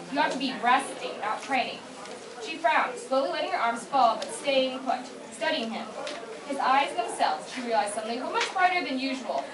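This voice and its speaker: A young woman reading scripted fan fiction narration aloud at a convention panel. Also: sits high. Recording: echoey noisy room, far from mic.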